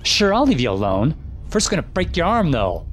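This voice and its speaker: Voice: mid-range voice, huge pitch swings, normal speed, a little hiss or hum. An actor playing a taunting, aggressive schoolboy bully in an audio drama, sneering and threatening. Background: background music.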